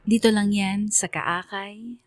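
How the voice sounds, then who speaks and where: A woman's voice: mid-range voice, fairly even intonation, slow pace, no room sound. A woman radio DJ delivering dramatic scripted story narration. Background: music.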